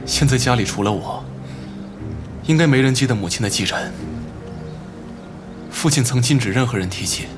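A man's voice: medium pitch, expressive, normal speed, pauses often, lightly acted. Man acting a guarded, grieving son over music. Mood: somber, reflective. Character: grieving, guarded adult son of a wealthy family. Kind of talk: Chinese audio drama acting. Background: prominent background music.

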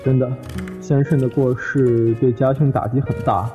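A man's voice: mid-range voice, little pitch movement, normal speed, lo-fi sound, lightly acted. Middle-aged family host male actor, formal and solemn, medium register, radio drama over prominent music. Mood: solemn, regretful. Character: courteous, solemn middle-aged brother hosting family guests. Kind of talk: Chinese radio drama acting.

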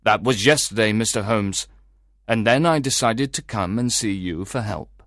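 A man's voice: fairly low pitch, normal speed, fairly bright tone, dry recording, studio quality, natural voice. A man playing a worried, suspicious husband who recounts his troubling story to a detective in a dramatised audiobook. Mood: troubled, recounting.

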